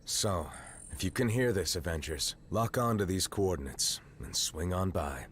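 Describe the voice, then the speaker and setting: A wry soldier in a video game, giving an emergency radio report in a very deep voice. He sounds dry and matter-of-fact, with clear background noise behind him. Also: expressive, moderate pace, fairly bright tone. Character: gruff male soldier sending a radio message.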